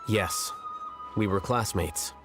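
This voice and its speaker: An actor playing an aloof, composed young male scholar in a video game, formal, deadpan and blunt. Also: on the low side, slow, vocal fry, loud music behind the voice. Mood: detached, explanatory. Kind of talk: game dialogue.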